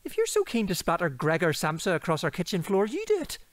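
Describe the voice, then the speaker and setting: Animated, blunt British student housemate. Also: female voice, on the low side, fast, no room sound. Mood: startled, teasing. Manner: flippant, mocking, blunt. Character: blunt, teasing, sweary young student housemate. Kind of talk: audio drama acting.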